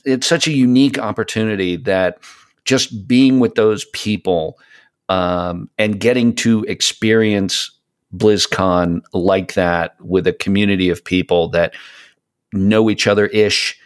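A man in his late fifties with a fairly low voice talks casually on a podcast. He is reflective and conversational, often halting as he gathers his thought. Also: fairly even intonation. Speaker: podcast co-host. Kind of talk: casual chat about gaming.

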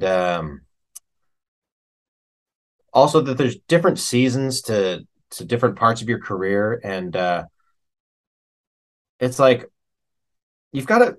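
A man's voice: medium pitch, sing-song, unhurried, pauses often. An American man talking as an interview guest, a working musician speaking in a casual, reflective and candid way, often informal. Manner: informal and candid, with frequent "man" and "you know".

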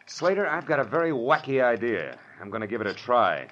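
An American actor playing a cocky, wisecracking private detective in 1940s radio noir, with wry, slangy, clipped delivery. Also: male voice, mid-range voice, very animated, brisk, natural voice. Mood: brisk, probing. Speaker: lead actor and narrator. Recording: dull, noisy old radio recording.

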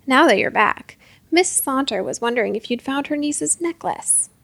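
A woman's voice: high voice, expressive, normal speed, vocal fry, a bit bright, dry recording, full character voice. A woman voicing a shifty, half-hearted male ghost, a decayed uncle in a storybook. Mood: wheedling.

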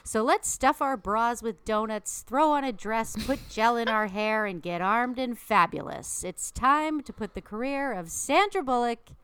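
A woman's voice: on the high side, animated, unhurried, few pauses, a bit bright, clean tone, no echo. A woman co-hosting a podcast, reading a punny scripted intro and then chatting casually and self-mockingly. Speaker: podcast co-host.

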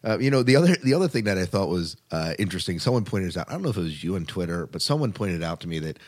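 A man hosting a podcast, chatting casually about television in a halting way, often restarting his phrases. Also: low-pitched, sing-song, darkish tone. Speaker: podcast host. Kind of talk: casual chat about TV shows.

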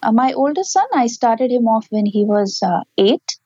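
Woman, earnest and explanatory, mellow tone, dull lo-fi audio. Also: fairly high pitch, animated, moderate pace, slightly rough, no room sound. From Pakistan. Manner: earnest, explanatory, with small laughs. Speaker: interview guest, parent and educator. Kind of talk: conversational interview answers.

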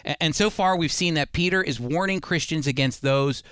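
A man's voice: a little high, moderate pace, a bit bright, clear, resonant tone, dry recording. A man hosting a radio Bible program, preaching conversationally and earnestly with emphatic, repeated phrasing into a close studio mic. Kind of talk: conversational radio sermon with scripture reading.

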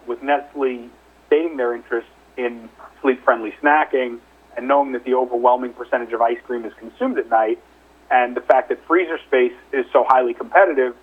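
Male company executive, husky, conversational and hedging, heard through a narrow phone-line recording on an investor call. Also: mid-range voice. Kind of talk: investor call question-and-answer. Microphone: telephone conference call. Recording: narrow, muffled telephone line.

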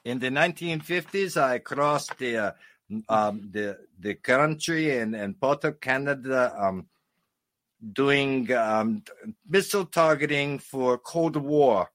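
A man's voice: mid-range voice, animated, takes it slowly, fairly bright tone, no room sound. A man playing a boisterous retired Soviet major with a Russian accent, swaggering and joking in broken English as a comic radio host. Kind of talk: comic in-character radio interview.

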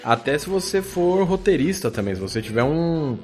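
A man's voice: a little high, big pitch swings, normal speed, darkish tone, soft background music. A Brazilian man chatting casually as a podcast guest, joking and often repeating words as he finds his way. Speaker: podcast guest, audio drama producer. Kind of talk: casual podcast chat with slang.

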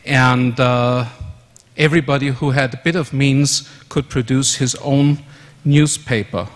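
A man in his fifties from Austria whose first language is German, giving a measured conference presentation in English with fillers and self-corrections. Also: mid-range voice. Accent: German-speaking Austrian. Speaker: conference speaker. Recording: long hall reverb.

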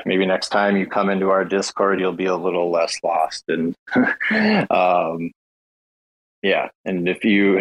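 A man hosting a casual online audio chat, talking haltingly with many fillers and restarts. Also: low-pitched, highs cut off. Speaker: host.

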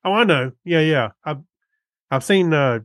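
A man's voice: on the high side, lively intonation, unhurried, a bit bright, no room sound, studio quality. A man co-hosting a podcast, chatting casually in a slightly hesitant, conversational way. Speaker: podcast co-host. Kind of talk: casual chat.

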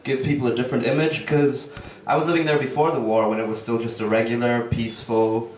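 A man's voice: mid-range voice, flat delivery, fast, very noisy. A young man from Germany, a stand-up comic, talking in a joking, self-deprecating way and laughing often. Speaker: stand-up comedian. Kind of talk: stand-up set and casual interview. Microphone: video call.

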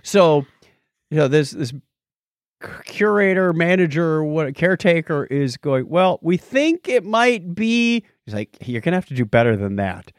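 A man co-hosting a podcast in casual conversation, animated, often stammering and restarting his phrases. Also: on the high side, measured pace, no room sound, clean studio sound. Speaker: podcast co-host.

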